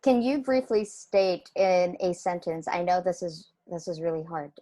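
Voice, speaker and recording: Admiring woman host, low voice. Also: fairly even intonation, normal speed, warm tone. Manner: admiring, chatty. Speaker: interview host. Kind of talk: casual interview.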